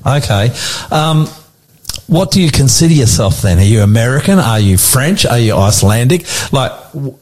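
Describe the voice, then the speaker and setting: A man's voice: mid-range voice, lively intonation, normal speed, a little mellow. Plain-spoken Australian man hosting. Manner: friendly, joking, plain-spoken. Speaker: host. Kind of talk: casual interview.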